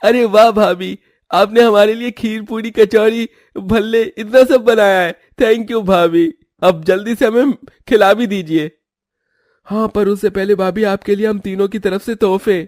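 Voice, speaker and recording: A man's voice: high voice, fairly even intonation, unhurried, darkish tone, no echo, clean studio sound. A man voicing an affectionate, eager young brother-in-law in a cartoon, earnest and caring. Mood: cheerful.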